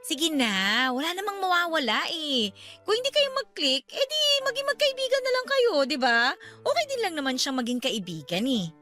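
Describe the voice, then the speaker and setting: High-pitched, bubbly matchmaking friend. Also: female voice, moderate pace, faint music behind, heavily acted. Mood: excited, coaxing. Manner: animated, coaxing, emphatic. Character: bubbly, persuasive young woman matchmaking her friend. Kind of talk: Tagalog radio drama acting.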